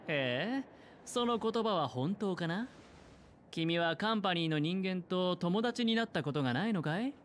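Flamboyant young male schemer, anime game, light high voice, swooping intonation, smooth and self-assured. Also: expressive, normal speed, a little hiss or hum. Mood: coolly pressing. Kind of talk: Japanese anime game voice acting.

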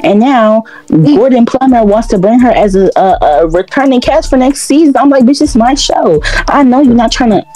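A woman's voice: fairly high pitch, lively intonation, normal speed, warm tone, natural voice, low-quality recording. A woman playing a brash, combative young reality-show fighter, profane, boastful and confrontational. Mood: riled, boastful. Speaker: lead of a voiced animated reality drama. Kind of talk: heated reality-show drama acting.

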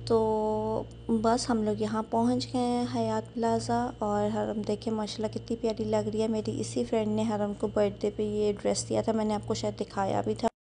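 A young woman narrating her day out in a casual vlog voice-over, chatty and unhurried with frequent fillers. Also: mid-range voice, monotone, dark tone, slight background noise. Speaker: vlogger.

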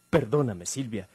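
Timid young man, Mexican: husky, hesitant, apologetic, radio drama delivery. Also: fairly low pitch, mellow, no room sound, heavily acted. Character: timid, clumsy, self-doubting young man hiding his origins. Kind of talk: 1950s-style Mexican radio drama acting.

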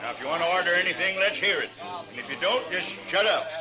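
Irritated saloon bartender, high-pitched, rapid, brusque, vintage radio drama. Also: male voice, lively intonation. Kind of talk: 1950s radio western acting. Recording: vintage radio, music behind.

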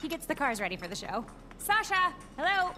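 A frazzled young female production assistant in a video game, tossing off sarcastic asides. Her voice is high and swings widely in pitch. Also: sing-song, fairly quick, background noise. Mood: harried.

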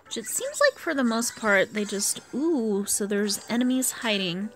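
A woman's voice: fairly high pitch, lively intonation, normal speed. A tired American woman giving chatty, casual gameplay commentary, thinking aloud as she plays. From US East Coast. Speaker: gaming video host. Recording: game music behind the voice. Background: video game music.

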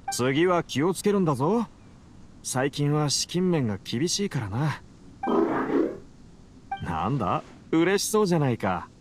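A man's voice: fairly high pitch, heavy background noise. An actor playing a driven but weary researcher in an anime game, speaking earnestly and tenderly to his machine. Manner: earnest, talking tenderly as if to a companion.

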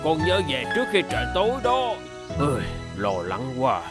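An old grandfather car from a children's cartoon, kindly but prone to fret. The delivery is expressive and fussing, with music behind it. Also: medium pitch, normal speed. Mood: fretful. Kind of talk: animated children's cartoon dub. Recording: background music under the voice.

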